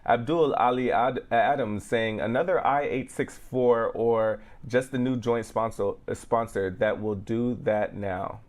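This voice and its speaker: A male co-host with a medium-pitched voice reads audience questions aloud in a casual show. He stumbles over words now and then and corrects himself before moving on to the next one. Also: measured pace, few pauses, darkish tone. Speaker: co-host reading out viewer questions.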